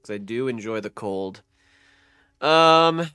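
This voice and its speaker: A man's voice: medium pitch, expressive, takes it slowly, bright, forceful tone, clear, resonant tone, dry recording. A young man streaming a game with a stuffy nose, chatting casually, repeating phrases and swearing. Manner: repeats phrases, profane, laid-back. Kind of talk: casual live-stream chat. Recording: faint music behind.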